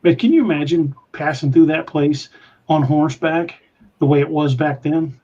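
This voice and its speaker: Give me a man with a twang and a slightly high voice, hosting a podcast and rambling through listener comments with lots of restarts. Dull, lo-fi recording. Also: moderate pace, mellow, husky. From Missouri, USA. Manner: rambling, hesitant with many restarts. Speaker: podcast host. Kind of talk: casual live-stream chat reading comments.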